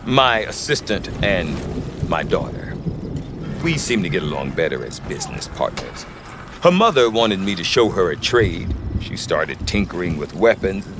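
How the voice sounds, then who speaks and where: Casting: folksy middle-aged merchant dad, video game. Low-pitched, very lively intonation, wry and colloquial. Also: male voice, noisy background. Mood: affable, awkward. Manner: wry, hesitant, colloquial salesman patter. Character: folksy, chatty Oseram trader father, middle-aged, wry salesman. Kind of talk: video game character acting.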